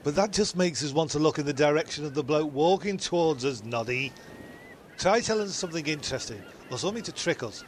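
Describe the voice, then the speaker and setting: A man's voice: fairly high pitch, lively intonation, brisk, a little hiss or hum, close to an everyday voice. A man playing a blunt, argumentative castaway in a comic audio play. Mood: scoffing. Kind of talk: comedic audio play acting.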